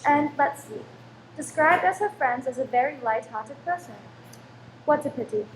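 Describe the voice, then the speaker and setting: A woman acting a fretful, careworn Victorian landlady and sharp-tongued wife in an old-time radio play. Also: high-pitched, lively intonation, normal speed. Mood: gossipy, morbid. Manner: anxious, complaining, dramatic. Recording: roomy stage recording, faint background noise.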